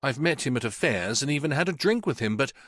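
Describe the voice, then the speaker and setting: A man's voice: mid-range voice, lively intonation, quick pace, fairly bright tone, no echo, clean studio sound, pitched above the performer's own voice. A man voicing a frightened, evasive woman under police questioning, tired and plaintive, stammering as she speaks. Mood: defensive. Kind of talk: audiobook character voice.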